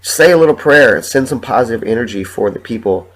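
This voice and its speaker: A young man hosting his own podcast while sick with the flu, sniffling and talking in a halting, rambling, emotional way with plenty of swearing. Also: medium pitch, animated, a little hiss or hum, poor audio. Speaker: podcast host. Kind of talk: solo podcast monologue.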